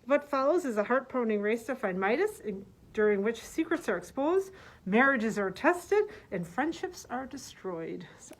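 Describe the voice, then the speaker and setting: A woman's voice: fairly high pitch, big pitch swings, moderate pace, rough recording. A woman librarian recommending books, partly reading their summaries aloud. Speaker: librarian presenting book picks.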